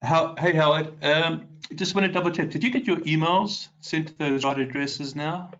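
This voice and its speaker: A man's voice: on the high side, steady tone, moderate pace, fairly bright tone, lo-fi sound. Nasal, congested man hosting a webinar. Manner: chatty, greets viewers by name, frequent ums. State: congested from a cold. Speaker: market specialist hosting a webinar. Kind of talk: live webinar market commentary.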